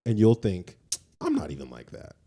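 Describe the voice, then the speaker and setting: A man with a very low, dark and relaxed voice talks casually as a podcast co-host. His delivery is informal and slangy, and he often repeats a phrase to drive it home. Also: moderate pace, gravelly. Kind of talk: casual conversational talk.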